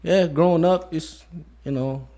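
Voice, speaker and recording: A man in his thirties from Modesto, California, talking quietly and reflectively as an interview guest and leaning often on "you know". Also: on the high side, a little slow. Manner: soft-spoken, reflective, many "you know" fillers. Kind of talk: casual conversation.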